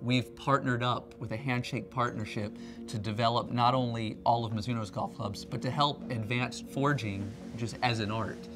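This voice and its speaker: A man's voice: medium pitch, fairly even intonation, moderate pace, hardly any pauses. Man explaining over prominent music. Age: 30s. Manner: conversational, explanatory. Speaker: company R&D representative, factory tour presenter. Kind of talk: explanatory promotional talk. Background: background music.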